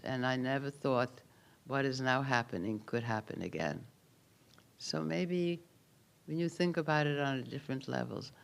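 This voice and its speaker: Man, 80s, Hungarian accent; memorial keynote testimony, calm, dry understatement. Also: medium pitch, creaky, clear, resonant tone. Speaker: Holocaust survivor giving a keynote. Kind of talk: personal testimony speech at a memorial ceremony.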